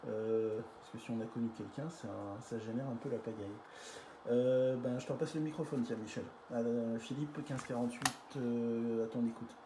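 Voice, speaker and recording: Guy doing ham radio chat, drawn out and halting, roomy sound, noisy. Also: mid-range voice, fairly even intonation. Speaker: amateur radio operator. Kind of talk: casual radio group chat. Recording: echoey, clearly noisy radio session.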